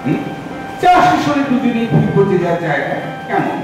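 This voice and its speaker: Echoey, swooping actor as an eager older gentleman. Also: sits high, fairly quick. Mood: eager, playful. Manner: expressive, coaxing, with hesitant hums and repeats. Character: eager, romantic older gentleman courting a woman. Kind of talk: audio drama acting in a recitation workshop. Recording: very echoey, distant, music behind. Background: background music.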